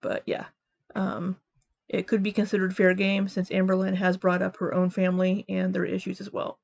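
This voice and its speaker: A woman's voice: mid-range voice, level tone, normal speed, vocal fry, darkish tone, dry recording, highs cut off. A woman hosting a commentary channel, giving her opinions in a casual spoken style.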